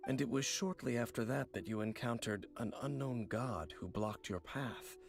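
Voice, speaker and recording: An actor playing a composed, secretive, knowing man in an anime-style video game, speaking in a measured, solemn, formal way. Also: mid-range voice, vocal fry, music in the background, close to an everyday voice. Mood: pondering.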